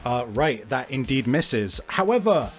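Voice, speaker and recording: A man's voice: mid-range voice, big pitch swings, unhurried. A man improvising narration as a game master, descriptive and often searching for his words. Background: faint music.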